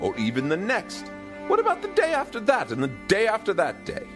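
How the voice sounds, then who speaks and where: A man's voice: sits high, huge pitch swings, fairly quick, faint music behind. A man playing a pompous, dim-witted, stubborn king in a comedic audio drama, speaking in blustering, formal phrases. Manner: pompous, blustering, formal diction without contractions.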